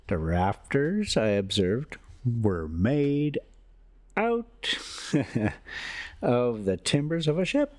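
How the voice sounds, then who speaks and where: Low-voiced man with lively, swooping pitch. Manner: folksy, chuckling, self-interrupting. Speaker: vlog host and story reader. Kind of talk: casual rambling travel narration.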